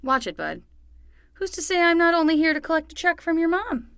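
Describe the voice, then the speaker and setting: A woman's voice: extremely high pitch, lively intonation, brisk, fairly bright tone, no room sound, clean studio sound, heavily acted. A woman playing a playful, teasing teenage girl babysitter in a fiction podcast.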